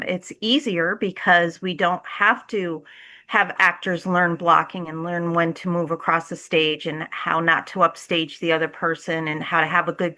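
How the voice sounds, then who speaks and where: A woman's voice: on the low side, sharp tone. A woman speaking as a community theatre director in a thoughtful interview, with an earnest, enthusiastic way of talking.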